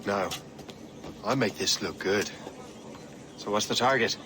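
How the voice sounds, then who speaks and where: A man's voice: lowish voice, unhurried, lightly acted. A man playing a cocky, wry rogue hunter in a video game, with a dry, understated delivery.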